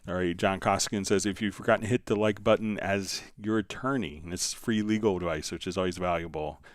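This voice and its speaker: A man hosting a casual live software tutorial, thinking aloud informally as he demonstrates. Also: low voice, fairly even intonation, fast, no room sound, studio quality. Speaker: livestream host, software instructor.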